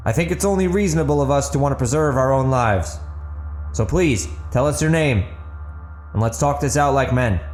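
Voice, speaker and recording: A man's voice: mid-range voice, normal speed, darkish tone, reverberant, soft background music, voice pushed up, close to an everyday voice. A man playing a shrewd, self-assured armed negotiator in an audiobook drama. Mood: reasoning.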